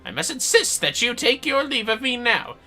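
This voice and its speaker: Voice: medium pitch, very animated, normal speed, sharp tone, pitched above the performer's own voice. A game streamer voicing a pompous, self-important male inventor from a fantasy game, with haughty, florid, dismissive delivery. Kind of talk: theatrical read-out of game dialogue.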